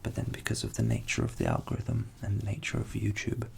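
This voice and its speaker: A young man who grew up in England with Ukrainian as his first language, whispering softly as an ASMR host answering viewer questions and thinking aloud. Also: lowish voice, huge pitch swings, fast, creaky, a little hiss or hum. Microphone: studio USB microphone.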